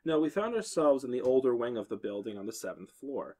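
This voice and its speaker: A young man reads a horror story aloud to his friends with a lively, swooping delivery. His pitch jumps up for the girls' lines, then settles back to an easy middle register. It sounds like a casual podcast among friends. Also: a little fast. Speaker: podcast host reading the story.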